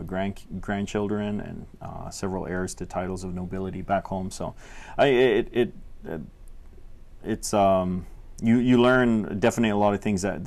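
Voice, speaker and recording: A young man from Tonga, a state legislator answering interview questions in a halting way, full of fillers and restarts. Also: sits low, vocal fry, a little mellow. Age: young adult. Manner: hesitant, many fillers and restarts. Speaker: legislator guest.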